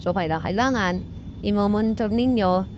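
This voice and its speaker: A woman with a Filipino accent gives an informal technical tutorial as a vlogger, explaining in a halting, repetitive way with English technical terms mixed in. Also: medium pitch, darkish tone. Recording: muffled lo-fi audio with background noise.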